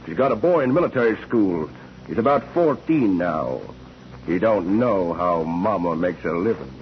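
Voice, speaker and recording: An actor playing a smug, mocking boarder who needles people in slangy talk, in 1940s radio drama style. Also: male voice, deep voice, animated, moderate pace. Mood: mocking, spiteful. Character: needling, smug, ill-willed male boarder, a heel. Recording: dull, noisy old radio recording.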